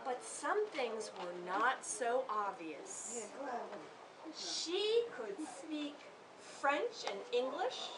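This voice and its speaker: Woman, children's author read-aloud, very lively swooping intonation, unhurried pace, theatrical. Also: high voice. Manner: animated and theatrical, pauses to engage listeners. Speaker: author reading to a group of children. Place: room with children responding. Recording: distant microphone, noisy room.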